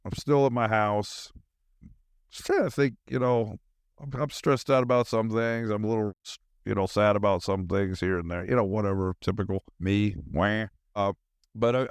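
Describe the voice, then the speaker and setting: Rambling, low-voiced man podcasting solo. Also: smooth, no echo, studio quality. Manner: self-deprecating, scattered, informal. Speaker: podcast host. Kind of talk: rambling casual solo chat.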